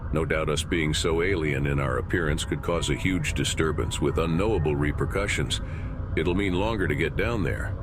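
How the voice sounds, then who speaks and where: Guy acting a really deep voiced starship captain, blunt but considerate, music playing behind. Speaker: voice actor in an audio drama. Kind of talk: sci-fi audio drama acting.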